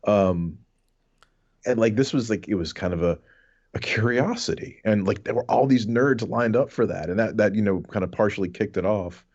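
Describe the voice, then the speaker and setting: Male podcast co-host, thirties, low voice, loose rambling nostalgic talk with swearing, slightly dull audio. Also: normal speed, darkish tone. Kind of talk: casual reminiscing podcast chat.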